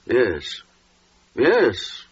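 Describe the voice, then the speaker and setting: A raspy, agitated old-radio father. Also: medium pitch, wildly swooping pitch, very slow, no room sound, full character voice. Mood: disbelieving. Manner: agitated, questioning, theatrical. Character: worried, bewildered, indignant father of a young maiden. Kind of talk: 1940s radio acting. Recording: dull old 1940s radio recording.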